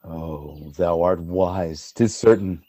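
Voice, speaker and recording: A man's voice: low-pitched, slow, mellow, dry recording. A man acting a proud, tormented, jealous general in a Shakespearean radio play, brooding and impassioned in his verse. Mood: brooding bitterness.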